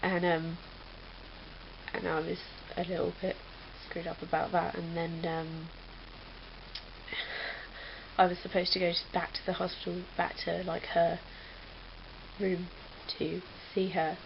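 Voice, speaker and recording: Young woman vlogger, rambling casual chat, gravelly low voice. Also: flat delivery, unhurried, long pauses. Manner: rambling, chatty, many fillers. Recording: dull lo-fi webcam audio with faint hiss.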